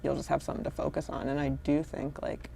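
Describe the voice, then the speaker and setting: Low, creaky-voiced woman, chatting candidly. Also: flat delivery, quick pace, warm tone, slight background noise. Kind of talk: casual conversation, personal reflection.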